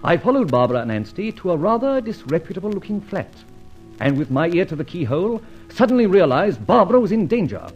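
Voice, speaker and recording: An adventurer telling his own story for a radio serial. The voice is fairly high and very animated, formal and dramatic, with some music behind. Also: fast, rough recording. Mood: dramatic recounting. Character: gentleman adventurer narrating his own exploit. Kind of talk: scripted first-person radio serial narration.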